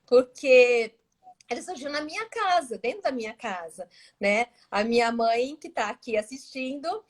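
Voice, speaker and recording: A woman with a São Paulo Brazilian accent, a guest astrologer chatting warmly on a live stream and laughing often, speaking into her device's built-in mic at home. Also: on the high side, moderate pace. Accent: São Paulo Brazilian Portuguese. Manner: warm, animated, laughs often between phrases. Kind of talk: casual live-stream chat. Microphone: device's built-in mic, no headset.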